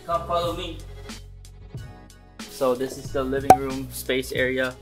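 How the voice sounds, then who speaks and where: Young man, casual house-tour vlog, mid-pitched voice, handheld camera, music underneath. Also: fairly even intonation, unhurried. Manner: laid-back, chatty, talks to the viewers. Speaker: vlogger. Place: empty basement suite and snowy yard. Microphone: handheld camera at arm's length.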